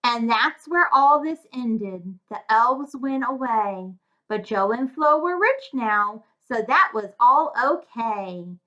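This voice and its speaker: A woman reads a children's tale in a high, bright voice. She takes it slowly and with a sing-song lilt, speaking directly to young listeners like a tutor. The recording is a little muffled. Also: lively intonation. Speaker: children's story reader and tutor. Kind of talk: read-aloud of a rhyming children's fairy tale.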